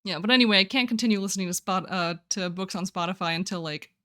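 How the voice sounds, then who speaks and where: A young woman chatting on a live stream in an easy, middle-pitched voice. She rambles from thought to thought, giggles often, and stops to answer her viewers' messages. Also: fast, sharp tone. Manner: reads and answers chat. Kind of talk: casual live-stream chat.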